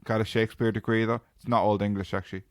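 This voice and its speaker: A man's voice: on the low side, little pitch movement, very fast, no echo, clean studio sound. A young Irish man chatting casually with friends on a podcast, joking and laughing as he tells stories. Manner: jokey, banters with friends, laughs while telling stories. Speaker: podcast co-host. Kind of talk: casual chat.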